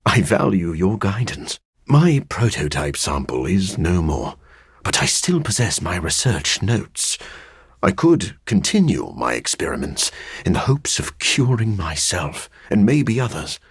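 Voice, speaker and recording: Game casting: a scholarly living vampire, low husky voice, formal, wry and brooding. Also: male voice, sing-song, measured pace, no room sound, studio quality, full character voice. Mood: earnest, reserved. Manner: formal, articulate, dryly wry. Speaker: video game character. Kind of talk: video game voice acting.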